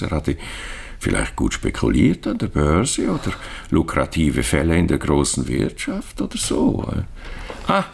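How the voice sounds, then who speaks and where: An actor playing a courteous, discreet, middle-aged bank adviser in a radio crime drama, speaking formally and obligingly. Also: male voice, extremely low pitch, creaky, a little hiss or hum, heavily acted. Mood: hesitant, correcting. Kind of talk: German radio drama acting.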